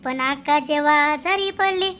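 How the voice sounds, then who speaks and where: A woman voicing a melodramatic cartoon mourner, crying in drawn-out sing-song wails. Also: high voice, heavy background noise, natural voice. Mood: sobbing lament. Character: wailing village woman mourner lamenting melodramatically.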